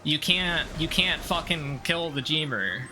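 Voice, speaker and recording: Male game streamer, unhurried and medium-pitched, nitpicky and sweary, rambling casually over prominent game music. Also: rough recording. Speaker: streamer playing a video game. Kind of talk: casual gameplay commentary. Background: video game music.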